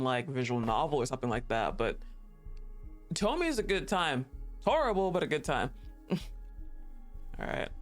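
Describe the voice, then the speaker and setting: A game streamer giving casual, playful livestream commentary, reacting aloud to the game and chatting with viewers. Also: medium pitch.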